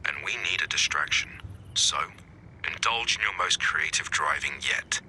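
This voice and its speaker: A man playing a serious, guarded resistance commander, giving measured military briefings as scripted video game dialogue. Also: rumbling low, expressive, slight background noise, heavily acted, low-quality recording. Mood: grave, guarded. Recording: game audio with loud background music.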